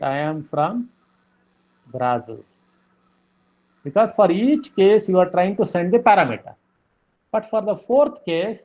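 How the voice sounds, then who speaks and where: A man teaching programming, explaining slowly with many fillers and repeated "okay". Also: high-pitched, dull audio. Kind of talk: lecture explaining code.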